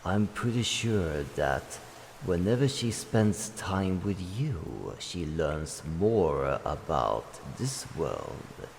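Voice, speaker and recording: A man voicing an ancient dragon guardian, solemn, calm and kindly, speaking slowly in formal, measured phrases in a roleplay addressed to the listener. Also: exaggerated voice. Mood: reflective, solemn. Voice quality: deep, gravelly. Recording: long cavernous reverb, faint background noise.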